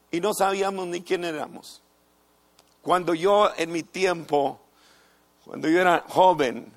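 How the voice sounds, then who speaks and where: A middle-aged man preaches with an unhurried, measured delivery. His voice sits on the higher side, and he repeats phrases and lets pauses hang between them. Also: a bit bright. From United States. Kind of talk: sermon.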